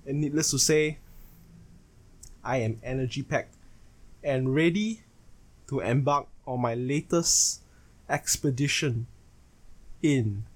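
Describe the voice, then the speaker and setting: A Singaporean man in his twenties delivers a solo podcast monologue as host, in measured, phrase-by-phrase fashion. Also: medium pitch. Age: 20s.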